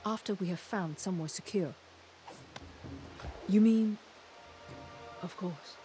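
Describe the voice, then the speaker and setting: A woman's voice: mid-range voice, slow pace, faint music behind, lightly acted. A woman playing an earnest guiding ally. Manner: calm, earnest, advising. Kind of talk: video game cutscene dialogue.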